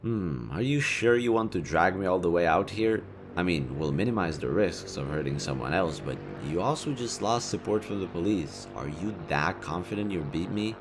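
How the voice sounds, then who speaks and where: Man acting a deep-voiced sardonic supervillain. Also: expressive, normal speed, hardly any pauses, noisy background. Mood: cocky challenge. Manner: taunting, casual, sweary, theatrical. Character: smug male city supervillain, sardonic yet secretly caring. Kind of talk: audio roleplay addressed to the listener.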